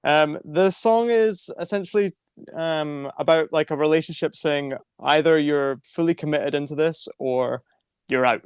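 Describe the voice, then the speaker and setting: A man's voice: on the high side, a little slow, clean tone, dry recording, clean studio sound. A man, a band musician chatting casually in an interview, hesitant and thoughtful as he explains.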